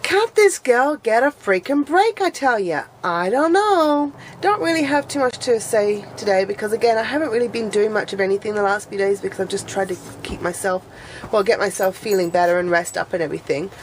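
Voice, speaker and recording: A woman's voice: medium pitch, animated, a little fast, poor audio. Woman chatting to camera, kinda nasal from a cold, rambles and restarts, faint hiss behind. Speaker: vlogger. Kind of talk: casual chat to camera.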